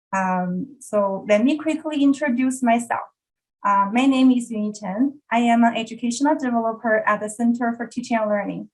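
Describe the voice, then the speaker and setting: A woman from China, speaking English as a second language, opening an online workshop with measured, hesitant phrasing over a video call. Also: on the high side, poor audio. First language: Chinese. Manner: measured, with frequent ums and uhs. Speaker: workshop facilitator.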